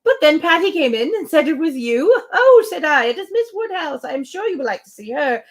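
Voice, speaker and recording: A woman's voice: high voice, big pitch swings, fairly quick, voice pushed up. A woman reading a classic novel aloud, voicing a middle-aged spinster who chatters on in a breathless, anxiously grateful, repetitive ramble. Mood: flustered, apologetic.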